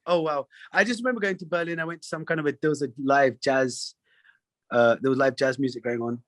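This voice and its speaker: A man, a singer chatting as a guest in an interview, animated, with lots of fillers. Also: medium pitch, fast, dry recording, studio quality. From England. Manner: animated storyteller, laughs often, many fillers. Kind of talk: casual interview chat.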